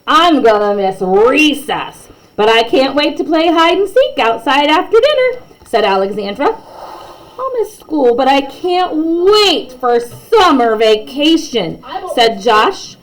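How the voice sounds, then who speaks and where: A woman's voice: high-pitched, sing-song, slow, poor audio. A woman reading a children's bedtime story aloud expressively, with chatty asides to young listeners.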